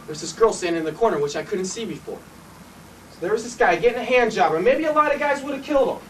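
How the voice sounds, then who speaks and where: Man playing an intense, foul-mouthed fireman, wildly animated pitch. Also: fast, recorded from a distance, a little hiss or hum, rough recording. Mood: agitated, intense. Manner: profane, blunt, colloquial storytelling. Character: intense, foul-mouthed city fireman and former cop, male. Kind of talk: dramatic stage monologue.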